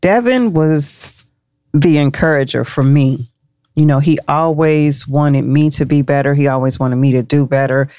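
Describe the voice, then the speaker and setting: A woman's voice: low voice, unhurried, mellow, clean tone, no echo, studio quality. A woman from Cincinnati co-hosting a podcast, speaking earnestly and reflectively. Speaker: podcast co-host. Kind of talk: casual podcast chat.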